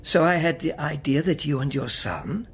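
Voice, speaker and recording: A man's voice: on the high side, big pitch swings, normal speed, a little hiss or hum. An actor playing an older male Czech spymaster in a radio drama, courteous and persuasive, often dropping to near a whisper. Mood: softly persuasive.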